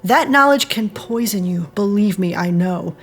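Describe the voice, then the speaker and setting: A woman's voice: medium pitch, expressive, unhurried, slightly rough, soft background music. A woman playing an earnest, principled friend in an audio drama, reasoning and pleading firmly. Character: earnest woman, a firm moral voice to her friend.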